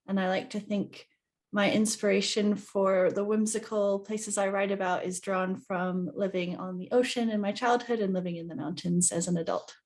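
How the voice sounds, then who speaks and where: Animated woman author in conversation. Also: medium pitch, normal speed. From New Zealand. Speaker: guest author. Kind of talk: conversational interview.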